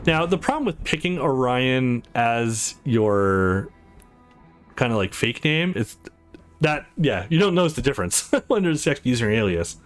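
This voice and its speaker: A man's voice: mid-range voice, sing-song, moderate pace, soft background music. A man hosting a let's play, reading a visual novel aloud expressively and adding casual commentary.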